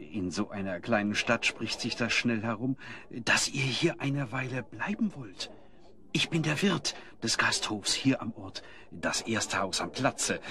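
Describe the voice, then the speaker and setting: An actor playing an obliging, boastful small-town innkeeper in a radio play, courteous and deferential in manner. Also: male voice, medium pitch, bright, forceful tone.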